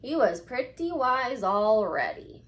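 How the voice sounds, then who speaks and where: A woman's voice: a little high, very animated, takes it slowly. A woman reading a storybook expressively and talking directly to children like a teacher, drawing out key words for emphasis. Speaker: children's read-aloud host, teacher-like.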